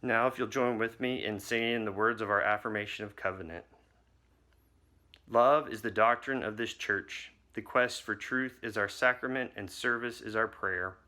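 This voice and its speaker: A man lighting the chalice at a service and reading a poem in a measured way. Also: fairly low pitch, sharp tone.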